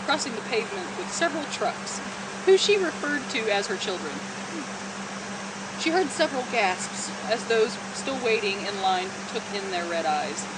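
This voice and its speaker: A woman co-hosting, giggly and teasing, moving between reading a story aloud and casual banter. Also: mid-range voice. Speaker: co-host. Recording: very noisy room, steady background hum.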